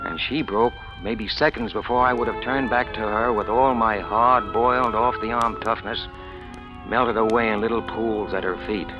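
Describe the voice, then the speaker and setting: A man's voice: lowish voice, fairly even intonation, moderate pace, natural voice. An actor playing a hard-boiled, scheming small-time crook who narrates his own rise in clipped, wisecracking slang, in old radio noir style. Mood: brooding narration. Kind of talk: 1940s-50s radio noir acting. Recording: dull old radio recording, music behind.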